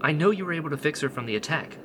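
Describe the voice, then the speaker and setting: A man's voice: a little high, expressive, very fast, background noise, close to an everyday voice. A man playing an idealistic, principled rebel leader in a sci-fi audio drama, earnest and insistent. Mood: pleading, frustrated. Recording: clear music bed behind.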